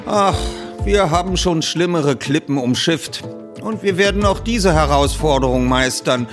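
A man's voice: fairly high pitch, animated, a little slow, voice pushed up. A man voicing a theatrical, pompous theatre man in an audiobook, declaiming grandly as if on stage. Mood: grandiose. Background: music under the reading.

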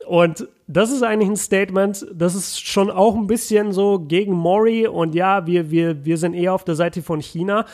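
A man's voice: sits high, steady tone, moderate pace, hardly any pauses, a little mellow. A young man talking casually on a podcast, chatty, with many fillers and restarts, mixing English words into his speech.

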